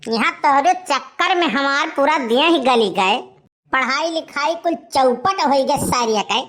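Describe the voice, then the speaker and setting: A woman's voice: high-pitched, expressive, unhurried, fairly bright tone. A woman playing a desperate, lovesick young village man in a cartoon comedy, pleading melodramatically with an Awadhi accent. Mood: aggrieved. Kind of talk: animated comedy dialogue.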